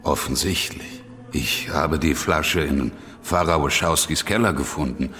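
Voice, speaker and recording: A self-assured American man in a radio play. His voice is extremely deep, and he speaks in a wry, easygoing manner. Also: unhurried, sharp tone, exaggerated voice.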